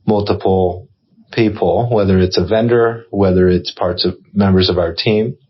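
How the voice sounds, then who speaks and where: A man's voice: low-pitched, steady tone, moderate pace, slightly rough, dull audio. A man hosting a casual podcast interview, encouraging his guest and summing up what she says. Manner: encouraging, affirming, sums up what he hears. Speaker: podcast host.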